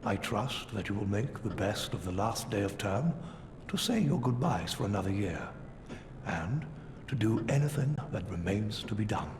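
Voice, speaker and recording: A man playing a calm elderly headmaster. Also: fairly low pitch, natural voice. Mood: formal. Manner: measured, formal address to students. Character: elderly male school headmaster, calm and kindly. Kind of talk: video game voice acting. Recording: long hall reverb with background noise.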